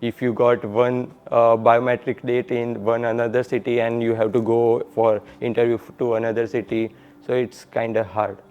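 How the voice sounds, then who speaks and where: A man's voice: medium pitch, level tone, moderate pace, clear, resonant tone. A male graduate student from India, talking casually with many fillers in an interview. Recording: slightly dull lo-fi audio.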